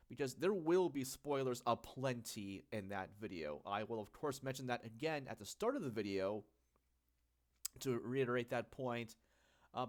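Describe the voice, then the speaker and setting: Congested young man chatting casually. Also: mid-range voice. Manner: rambling, filler-heavy, self-correcting. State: congested, getting sick. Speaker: channel host. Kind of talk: casual solo talk about gadgets.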